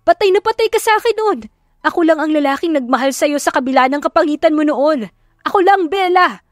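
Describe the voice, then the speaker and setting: An overwrought, possessive husband who has been left , acted out by a woman. The voice climbs high as he pleads and insists, in the manner of an audiobook romance. Music plays underneath. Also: no room sound, studio quality. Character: desperate, obsessive jilted husband, pleading and possessive. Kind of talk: audiobook romance drama acting.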